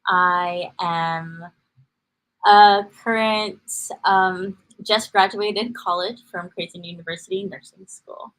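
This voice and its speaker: A woman's voice: mid-range voice, steady tone, takes it slowly, fairly bright tone, low-quality recording. A young woman from Kauai in her twenties, a podcast guest, chatting with many "like" fillers. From Kauai, Hawaii. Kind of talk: casual conversation.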